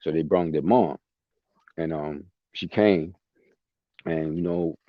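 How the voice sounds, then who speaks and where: A man's voice: extremely low pitch, takes it slowly, dry recording. A man hosting a podcast, talking in a passionate, emphatic way and often repeating himself. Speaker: podcast host. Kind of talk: casual chat. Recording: dry, dull lo-fi sound.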